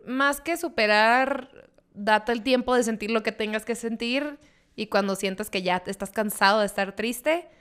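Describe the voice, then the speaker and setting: A woman's voice: a little high, normal speed, a bit bright, clear, resonant tone. A young woman with a northern Mexican accent, co-hosting a podcast in casual chat and slipping English phrases into her talk. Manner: slangy, mixes in English phrases. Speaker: podcast co-host.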